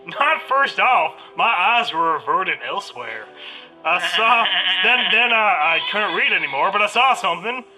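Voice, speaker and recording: A folksy, dim sheep farmer with a put-on Southern US accent, played by a woman. The voice is very sharp and forceful, with lively swings in pitch. The farmer rambles and halts crudely in a spoof of old radio drama. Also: moderate pace, faint music behind, low-quality recording. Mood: halting, confused. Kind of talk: parody of 1930s radio drama.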